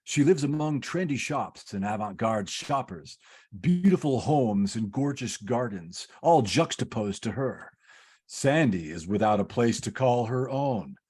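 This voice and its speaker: A calm senior angel in a radio play , acted by a man, with a husky, medium-pitched voice. He explains patiently and instructively, like an overseer briefing his junior. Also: measured pace. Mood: patient, explaining. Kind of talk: old-time radio play parody, read from script.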